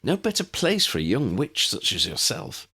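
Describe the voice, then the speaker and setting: A man voicing a measured, well-mannered young male wizard with a polite, tentative manner in an audiobook. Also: medium pitch, huge pitch swings, pushed and bright, husky, voice pushed up, heavily acted. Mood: helpful.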